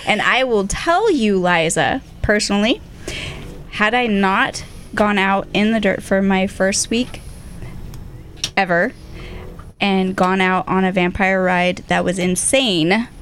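A woman's voice: medium pitch, takes it slowly, fairly bright tone, slight background noise. A woman co-hosting a podcast in a casual group chat. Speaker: podcast co-host.

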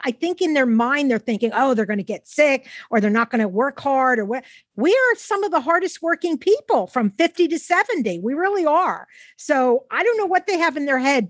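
A woman's voice: high voice, dry recording, clean studio sound. An older woman hosting a podcast interview, chatting casually in a plain-spoken, emphatic way. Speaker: podcast host.

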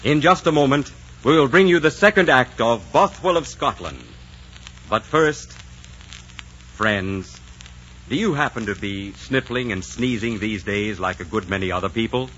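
Male vintage radio announcer, smooth sales patter, friendly, muffled and lightly noisy recording. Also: medium pitch, unhurried, a bit bright. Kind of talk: 1940s scripted radio commercial narration.

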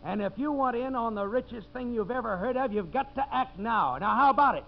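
An actor playing a slick, fast-talking promoter and boss with a commanding salesman's patter, in 1950s radio drama style. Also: extremely high pitch, vocal fry. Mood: rousing, pitching. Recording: dull, hissy 1950s radio broadcast recording.

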